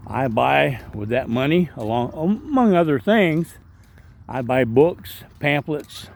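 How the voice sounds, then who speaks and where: Rambling man with a gravelly voice. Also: medium pitch, expressive, slow. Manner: rambling, chatty, with frequent ums and asides. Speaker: vlogger and street evangelist. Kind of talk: casual walking vlog narration. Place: outdoor park by a waterway.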